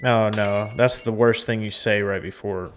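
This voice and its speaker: A man's voice: fairly low pitch, fairly even intonation, normal speed, soft background music. A man reading a visual novel aloud as a game streamer, mixing narration with casual reactions.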